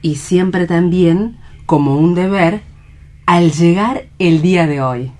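Young woman, lowish pitch, earnest, tender, lyrical, emotive, radio play reading. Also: slow pace, warm tone. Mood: reverent. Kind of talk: radio theatre dramatic reading.

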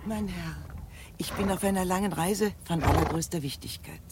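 A woman's voice: fairly low pitch, moderate pace, very noisy, natural voice. A woman playing an imperious, agitated noblewoman in a German radio drama, speaking theatrically and formally with urgency. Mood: grave, gracious.

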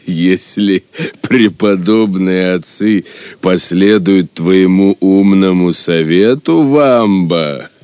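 An actor playing a gruff, dour, plain-spoken medieval man in an audio drama. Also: deep voice, slow, darkish tone. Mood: dry, doubtful. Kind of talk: theatrical audio drama acting.

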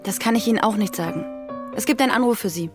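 A woman's voice: medium pitch, quick pace, over music, natural voice. An actress playing a polite, flustered young female employee in a radio drama, deferential and slightly hesitant. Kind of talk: modern German radio drama acting.

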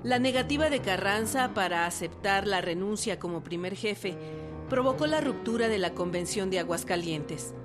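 A woman narrates scripted history for radio. Her voice is medium in pitch. Music runs clearly behind her. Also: animated, moderate pace.

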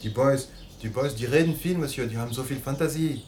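An actor in a German radio play playing an obliging, servile but evasive hotel servant. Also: male voice, mid-range voice, a little fast, darkish tone, a little hiss or hum, lightly acted. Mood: evasive, dismissive.

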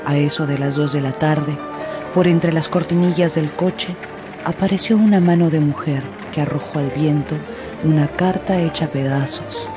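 A narrator telling a radio drama story in an expressive, theatrical way with drawn-out emphasis. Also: mid-range voice, over music.

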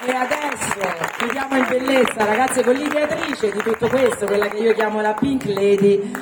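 A woman's voice: fairly high pitch, steady tone, moderate pace. A woman with a Roman Italian accent performing stand-up in a theatrical, emphatic, mock-indignant way. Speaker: stand-up comedian. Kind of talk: stand-up comedy on stage. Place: live indoor stage before audience. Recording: echoey venue, distant mic, crowd noise.

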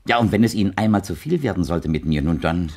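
An old blind dreamer in a radio drama, with a deep-leaning, husky voice. He is gentle yet insistent, speaking in poetic, flowery phrases that rise and fall widely as he persuades. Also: expressive, fast talker. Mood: gently hopeful. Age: older. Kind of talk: German radio play acting.

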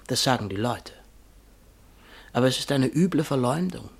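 A man's voice: mid-range voice, animated, moderate pace, vocal fry, husky. An actor playing a humble, sly barber in a German radio play, deferential, pleading and evasive toward his master. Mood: sly, defensive.

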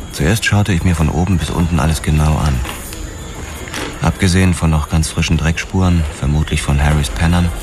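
An actor playing a haunted, hard-boiled police detective, narrating a noir radio drama in measured, clipped phrases. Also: male voice, very deep voice. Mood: methodical. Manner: measured, clipped, grim storytelling. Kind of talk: scripted first-person noir crime narration, radio drama.

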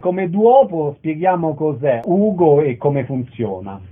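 Male radio host, fairly high voice, announcing and interviewing, on a narrowband, muffled recording. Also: animated, slow. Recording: dull, band-limited radio sound.

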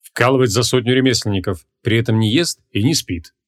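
A man voicing a proud, smug ancient wizard in an audiobook, with a gruff, lordly and measured delivery. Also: medium pitch, close to an everyday voice. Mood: pensive.

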